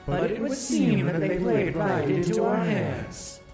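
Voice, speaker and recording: A man voicing a solemn, ancient otherworldly ruler in a visual novel, making grave, deliberate, theatrical pronouncements. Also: high voice, very animated, heavily acted. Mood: measured, explaining. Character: solemn, ancient otherworldly ruler, calmly justifying terrible deeds. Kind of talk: dramatic visual novel voice acting. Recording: echoing voice under loud music.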